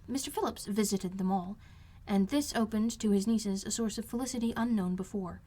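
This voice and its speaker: Female audiobook narrator, medium pitch, scripted literary prose. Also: brisk, warm tone, slightly rough. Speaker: amateur audiobook reader.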